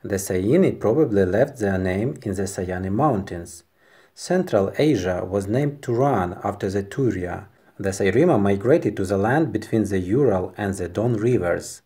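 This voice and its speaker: A Ukrainian man with a Ukrainian accent, speaking English as a second language and narrating a history video carefully and deliberately. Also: fairly low pitch, expressive, mellow, husky. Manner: careful, deliberate non-native delivery.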